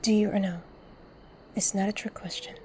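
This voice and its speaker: A woman's voice: medium pitch, fairly even intonation, moderate pace, darkish tone, a little hiss or hum, close to an everyday voice. A woman playing a blunt, earnest and wounded heroine in an audio drama. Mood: confrontational. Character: blunt, intense, wounded heroine, protective of victims. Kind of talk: fan audio drama acting, dramatic monologue.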